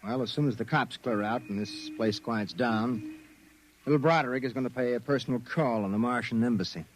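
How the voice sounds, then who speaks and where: An actor with an American accent playing a brusque, wary private investigator in 1950s radio drama style, giving orders in slangy, commanding speech. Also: male voice, mid-range voice, a little fast, slight background noise, natural voice, rough recording. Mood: grim, determined.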